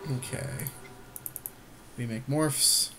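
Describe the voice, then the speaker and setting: Regular mid pitched guy co-hosting game commentary, short agreeable comments, a bit noisy recording. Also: drawn-out words, pushed and bright. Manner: agreeable, brief interjections. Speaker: podcast co-host. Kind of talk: casual game commentary with a co-host.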